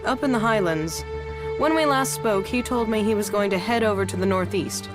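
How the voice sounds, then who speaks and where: A woman's voice: mid-range voice, moderate pace, lightly acted. An actress playing a wary, tough, streetwise female arms dealer in a video game, with a sardonic, bargaining manner. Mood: dry, businesslike. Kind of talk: video game dialogue acting. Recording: music under the voice.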